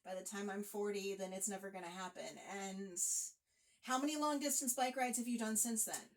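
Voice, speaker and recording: A woman's voice: medium pitch, moderate pace. A woman hosting a casual interview podcast, making brief friendly prompts and asides. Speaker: podcast host.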